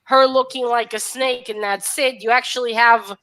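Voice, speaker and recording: Bright, higher-pitched woman discussing a story. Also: fairly even intonation, moderate pace. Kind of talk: casual book discussion.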